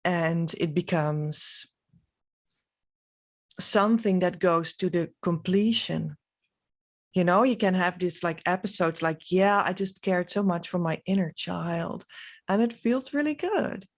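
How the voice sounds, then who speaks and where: A woman from the Netherlands, a native Dutch speaker with a Dutch accent, giving a reflective, unscripted vlog monologue with halting, trailing phrases. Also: lowish voice. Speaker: spiritual vlogger.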